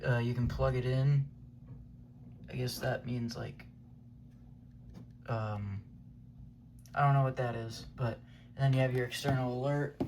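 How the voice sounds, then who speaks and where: A man talks through gear recommendations in an offhand, unscripted way. His voice sits at a medium pitch and he feels his way loosely through each point. Some background noise and ambience sit behind him. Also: fairly even intonation, a little slow, pauses often. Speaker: weather radio hobbyist reviewing gear. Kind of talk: casual unscripted product review.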